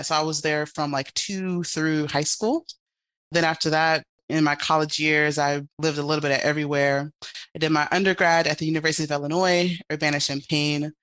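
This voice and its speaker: A woman's voice: low voice, fairly bright tone. A young woman from the Chicago area, answering interview questions as a podcast guest in an upbeat, playful way. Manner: upbeat, playful, laughs while talking. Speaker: guest, architectural designer.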